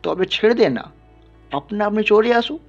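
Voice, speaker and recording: A loud, hectoring Brahmin priest played by a male reader, angry and scornful, in an audio story. His pitch rides high and swings widely. The sound is a little muffled, with music behind. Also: expressive, normal speed. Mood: scolding.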